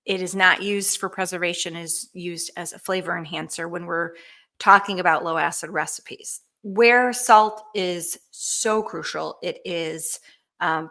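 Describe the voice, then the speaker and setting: A woman hosting a live canning Q&A, chatty and enthusiastic, with frequent ums and a slightly hoarse voice. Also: medium pitch, a bit bright. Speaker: canning cookbook author hosting a live show. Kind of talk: casual live Q&A cooking demo.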